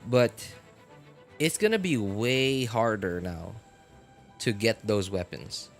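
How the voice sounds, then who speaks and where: A man talking casually on a game live stream, rambling with many fillers and getting animated about his opinions. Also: on the low side, takes it slowly, soft background music. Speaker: gaming streamer.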